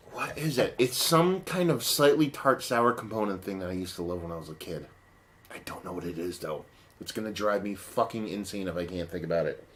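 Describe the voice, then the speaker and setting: Male beer vlogger, low voice a bit hoarse, loose rambling delivery with casual cursing. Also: slight background noise. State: partly lost voice, lower than usual. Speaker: beer reviewer. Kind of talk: casual beer review vlog.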